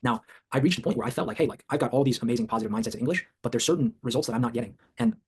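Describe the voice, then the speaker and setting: Man host, quick delivery, husky tone, eager and chatty in a group talk. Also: medium pitch, darkish tone. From China. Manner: enthusiastic, says "like" often. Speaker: workshop host. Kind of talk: casual group discussion.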